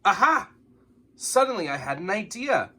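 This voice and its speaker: An anxious, excitable young cookie from a picture book, played by a man. The voice jumps up high and swings widely, full of nervous exclamation. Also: moderate pace, full character voice. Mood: excited.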